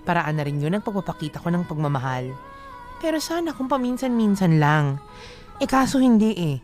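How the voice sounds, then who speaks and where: A woman's voice: lowish voice, sing-song, brisk, darkish tone, soft background music. An actress playing a weary young girlfriend, confiding her own story in Filipino radio drama narration.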